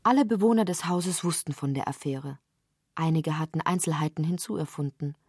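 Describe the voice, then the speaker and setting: A woman's voice: low-pitched, normal speed, a little mellow, husky, dry recording, studio quality. A woman reading literary prose as a scripted narrator.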